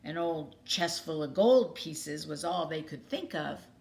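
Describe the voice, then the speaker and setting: A woman reading a children's story aloud expressively, with dramatic pauses and emphasis. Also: sits low, expressive, gravelly, darkish tone.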